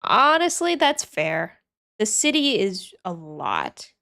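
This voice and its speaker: A young woman streaming, a little sick and sniffly, with a gravelly edge of fry in her voice. She chats loosely with her viewers, reads their messages aloud and mutters to herself as she works. Her pitch sits in a middling range. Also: sing-song, slow, sharp tone. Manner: chatty, reads chat aloud and thinks aloud while working. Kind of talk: casual live-stream chat.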